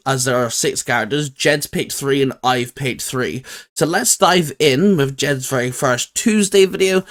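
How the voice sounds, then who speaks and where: A man's voice: medium pitch, a bit bright. A man hosting a casual video discussion, repeating and stressing phrases for emphasis. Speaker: host. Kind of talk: casual video chat and intro.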